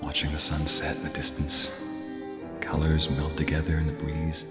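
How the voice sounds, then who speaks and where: Man acting a deep-voiced, smitten, nervous violinist. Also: monotone, moderate pace, long reverb tail, loud music behind the voice. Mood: dreamy, soothing. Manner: hesitant, breathy asides and sighs, stammering. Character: nervous, smitten, gentle romantic male concert violinist. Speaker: ASMR roleplay voice actor. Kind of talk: intimate ASMR romantic roleplay acting.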